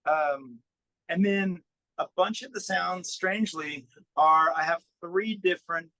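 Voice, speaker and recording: A man speaking as a musician guest in a casual interview, thinking aloud in a halting way as he explains. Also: high-pitched, pushed and bright, dry recording, poor audio.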